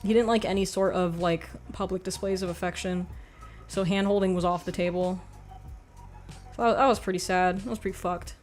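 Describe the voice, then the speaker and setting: A young woman hosts a livestream in a soft, quiet voice. She rambles through chat with frank, offhand remarks and plenty of "like". Faint music plays behind her. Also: fairly low pitch, fairly even intonation, fairly quick. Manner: rambling, frank, laughs often, says "like" a lot. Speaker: livestream host reading chat. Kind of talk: casual chat.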